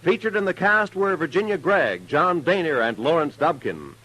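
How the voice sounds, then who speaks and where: A man's voice: on the high side, sing-song, moderate pace, sharp tone, rough recording. An American man reading formal, emphatic credits as an old-time radio announcer.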